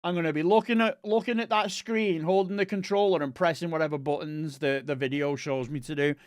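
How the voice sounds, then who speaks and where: A man with a Northwest English accent that blends Manchester and Liverpool sounds chats casually as a streamer, rambling and correcting himself mid-sentence. His voice sits a little high and sounds smooth and clean. The recording is dry and close, with no room sound. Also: fairly even intonation, brisk, no room sound. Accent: Northwest English, mix of Manchester and Liverpool. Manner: rambling, chatty, self-correcting. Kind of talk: casual live-stream chat reading viewer messages.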